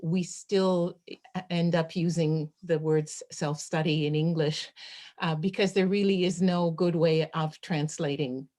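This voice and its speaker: Woman, lowish voice, unscripted webinar speaker on a video call, halting and full of fillers. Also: fairly even intonation, a little mellow. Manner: hesitant, many fillers and restarts. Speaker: chapter author speaking at a webinar. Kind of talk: unscripted academic talk.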